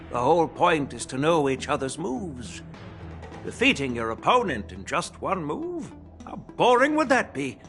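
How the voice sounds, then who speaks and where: Old sword master, genial, animated, forceful tone, encouraging, game character, faint music. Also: male voice, a little high, a little slow, full character voice. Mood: jovial. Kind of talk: video game voice acting.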